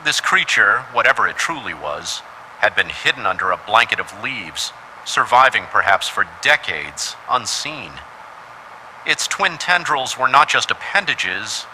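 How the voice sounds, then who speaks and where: A man's voice: on the low side, big pitch swings, unhurried, pushed and bright, a little hiss or hum, poor audio. A man reading a scripted first-person horror story as its narrator.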